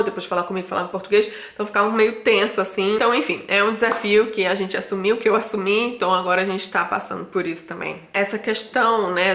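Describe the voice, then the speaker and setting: A woman's voice: on the low side, a bit bright. A woman in her thirties from Brazil, talking casually to camera at home, with lots of fillers and restarts. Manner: chatty, many fillers and restarts. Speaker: vlogger. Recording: echoey room.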